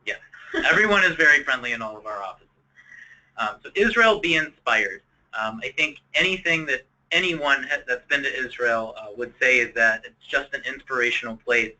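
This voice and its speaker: A man from Ohio giving a webinar talk in a loose, chatty way, with lots of ums and self-corrections. His voice is very bright and forceful, and his pitch sits mid-range for a man. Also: lively intonation, normal speed, rough recording. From Ohio, United States. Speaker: tourism office presenter. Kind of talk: casual presentation.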